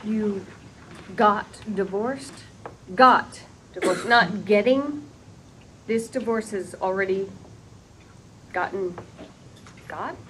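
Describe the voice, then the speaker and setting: A woman's voice: mid-range voice, slow pace, pauses often, a bit bright, slight background noise, poor audio. An actress playing an emotional, caring mother in her thirties, reading her lines with heartfelt feeling at a table read.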